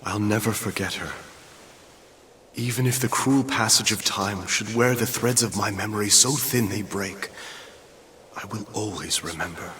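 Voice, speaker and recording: An actor playing a solemn, wistful man mourning a young girl in a video game cutscene, in measured phrases. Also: on the low side, a bit bright, a little hiss or hum, lightly acted. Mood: mournful.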